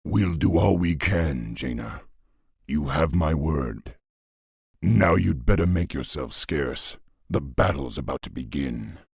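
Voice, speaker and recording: A man voicing a noble, resolute orc warchief in a fantasy game, with a deep husky voice and weighty, stately delivery. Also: animated, slow, darkish tone, dry recording, studio quality, heavily acted. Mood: solemn, resolute. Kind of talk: fantasy video game acting.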